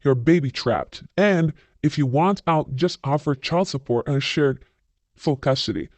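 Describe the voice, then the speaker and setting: A man with a slightly high voice reads viewer comments aloud and cuts in with his own blunt, scornful verdicts. His delivery is opinionated and dismissive. The recording is dry and close, with no room sound. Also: unhurried, dry recording. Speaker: channel host reading viewer comments.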